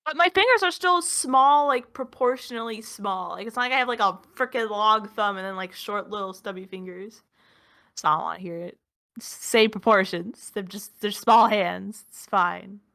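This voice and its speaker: A woman's voice: medium pitch, normal speed, creaky, pushed and bright. A woman in her early twenties, a podcast co-host, chatting casually with lots of filler words and self-deprecating asides. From Minnesota. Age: 20s. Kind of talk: casual chat.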